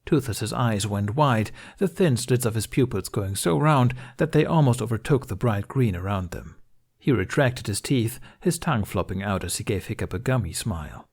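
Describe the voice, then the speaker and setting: Low-voiced man narrating a story. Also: lively intonation, moderate pace, darkish tone, breathy edge. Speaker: amateur audiobook narrator. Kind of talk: scripted fan fiction narration.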